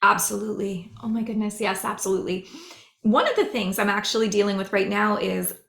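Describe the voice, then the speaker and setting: A woman psychic medium chatting on a podcast with a hoarse, raspy voice, explaining earnestly at length. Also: mid-range voice, level tone, fairly bright tone, echoey room. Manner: rambling, earnest, drawn-out explaining. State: hoarse, losing her voice. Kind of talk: casual podcast chat.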